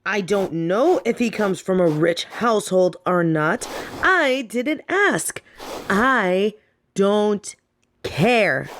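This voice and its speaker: A woman plays a defiant young heiress in an audio drama, fed up with her snobby family. She speaks slowly at a medium pitch for a woman, with sardonic, sweary emphasis on her words. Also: expressive, a bit bright, a little hiss or hum. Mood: exasperated, mocking. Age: young adult. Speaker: audio roleplay performer. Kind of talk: scripted dramatic audio roleplay.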